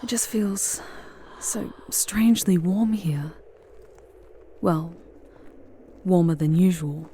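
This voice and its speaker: A seductive demon temptress, acted by a woman in an ASMR roleplay, speaking in a low, mellow voice. Her delivery is very slow, theatrical and breathy, often slipping into a whisper close to the microphone. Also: slight background noise. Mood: coaxing, intimate. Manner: theatrical, formal, drawn-out phrasing. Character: charming, seductive female demon guide through hell. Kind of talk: intimate ASMR roleplay, scripted monologue.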